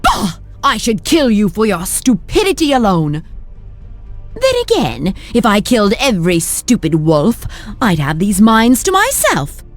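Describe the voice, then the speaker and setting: An actress playing a haughty, cruel mistress of the mines in a video game, imperious and threatening. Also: female voice, mid-range voice, huge pitch swings, unhurried. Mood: scornful anger. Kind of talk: video game cutscene acting. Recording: music behind.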